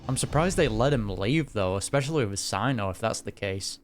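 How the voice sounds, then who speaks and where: A man's voice: fairly low pitch, expressive, fairly quick, slight background noise. A man giving casual reaction commentary on a game, informal and speculating aloud. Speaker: gaming reaction streamer. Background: game music.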